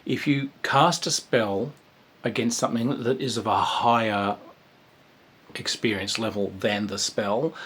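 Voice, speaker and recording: A man giving a casual, rambling review of a tabletop game, with a wry, dark humour and plenty of fillers and restarts. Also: lowish voice, slight background noise.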